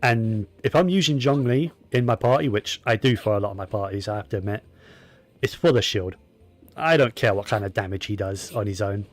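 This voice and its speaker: A man's voice: lowish voice, a little fast, soft background music. A man giving casual gameplay commentary, rambling and opinionated, often talking to himself as he plays. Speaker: gaming streamer.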